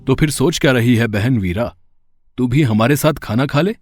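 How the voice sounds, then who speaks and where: A man's voice: mid-range voice, normal speed, a little mellow, soft background music, lightly acted. A man playing a protective, scheming and worried brother in a folktale, with emphatic, theatrical delivery. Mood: coaxing.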